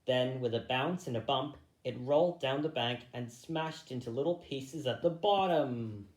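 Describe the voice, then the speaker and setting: A man reading a children's story aloud, a little halting, going back over misread phrases. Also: mid-range voice, echoey room, rough recording. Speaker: storybook reader.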